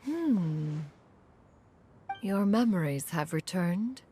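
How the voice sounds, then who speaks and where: An actress playing an aloof, wise ancient crane spirit who speaks formally and at a distance in a video game. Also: female voice, lowish voice, animated, extremely slow, dark tone, faint music behind. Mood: questioning. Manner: formal and detached, speaks of herself as "one". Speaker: game character.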